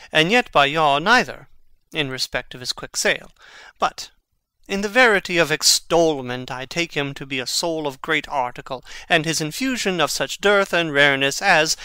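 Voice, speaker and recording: An actor playing a witty, sardonic young prince in a Shakespearean audio drama, speaking in an articulate, theatrical, mocking way. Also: male voice, fairly high pitch, sharp tone, smooth, dry recording.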